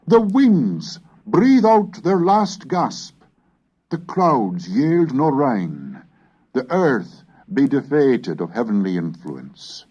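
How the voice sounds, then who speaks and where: A man reading a scripted educational narration in a formal, measured, oratorical manner. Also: on the low side, dark tone, slightly rough. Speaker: lecturer and reader. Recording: dull lo-fi cassette recording.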